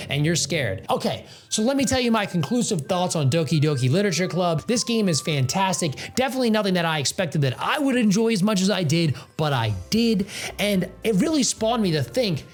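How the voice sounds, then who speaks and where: A young man reviews a video game in a loose, slangy, rambling style. His pitch swings around freely for playful drama, with faint music underneath. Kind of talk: casual video essay commentary on a game.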